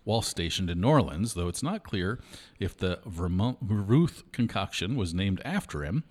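Lively low-voiced man co-hosting. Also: normal speed. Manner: chatty, reads aloud then riffs with asides. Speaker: podcast co-host. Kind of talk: casual chat reading trivia.

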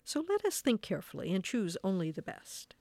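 A woman voicing an excitable, scolding but soft-hearted little old wife in a storybook tale, with an exclamatory manner. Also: mid-range voice, brisk, creaky, no room sound, full character voice. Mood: careful.

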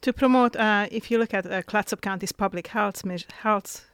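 A middle-aged woman with a Hungarian accent and a smooth, resonant voice answers questions on a radio talk show. She speaks plainly and matter-of-factly. The sound is close and dry, with no room around it. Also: medium pitch, moderate pace, dry recording. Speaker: guest, nurse and health coordinator.

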